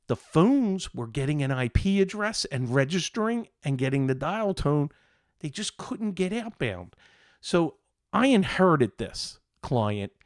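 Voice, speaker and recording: A man hosting a tech show, talking casually and unscripted as an IT technician, often pausing mid-sentence and repeating phrases. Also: medium pitch, darkish tone, dry recording, studio quality. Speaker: IT support technician.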